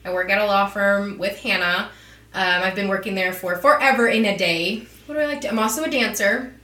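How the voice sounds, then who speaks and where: Bright-voiced bubbly woman of thirty. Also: mid-range voice, fairly quick, roomy sound. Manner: bubbly, jokey, swears casually. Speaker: podcast co-host. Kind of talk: casual chat. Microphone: close to the mic.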